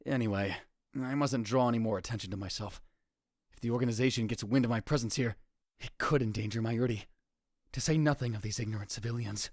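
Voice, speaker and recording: A man's voice: medium pitch, quick pace, dry recording, clean studio sound, lightly acted. A man playing a paranoid, grandiose young mad scientist in a visual novel fan dub, with a pompous, melodramatic delivery. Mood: smug, brooding. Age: young adult. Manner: pompous, melodramatic, swinging from hushed muttering to outbursts.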